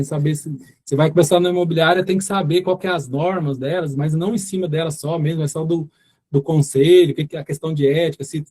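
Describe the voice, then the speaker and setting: Mellow-voiced man with a Goiás accent. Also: on the high side. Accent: Goiás Brazilian Portuguese. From Goiás, Brazil. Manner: rambling, many fillers and restarts. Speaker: course instructor. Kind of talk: informal class talk.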